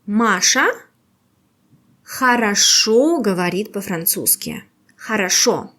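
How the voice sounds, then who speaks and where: A woman teaching a beginner language quiz, with patient, slow and carefully exaggerated pronunciation. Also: medium pitch, a bit bright.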